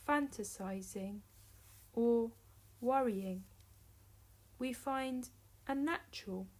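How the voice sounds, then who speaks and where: A woman narrating a guided meditation as a teacher, in measured short phrases separated by long pauses. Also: a little high, a little mellow.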